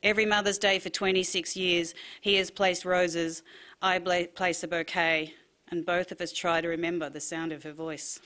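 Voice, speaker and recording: A woman's voice: fairly low pitch, level tone, moderate pace, sharp tone. A woman reading short personal literary pieces as a writer. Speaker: writer reading own work.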